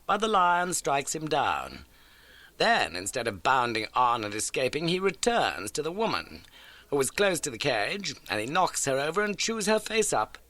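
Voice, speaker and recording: A man performs a keen, masterful detective from an audiobook, pitched up and swooping with theatrical relish. The delivery is bright, brisk and emphatic, every point put incisively. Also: wildly swooping pitch, no echo, heavily acted. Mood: animated puzzling. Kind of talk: audiobook character dialogue.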